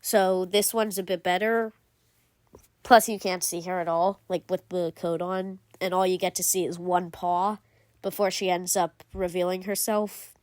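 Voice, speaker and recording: A woman's voice: fairly low pitch, little pitch movement, unhurried, a little mellow, no room sound. A woman giving casual commentary as a gaming video creator, rambling with lots of fillers and self-corrections. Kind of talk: casual game commentary ranking.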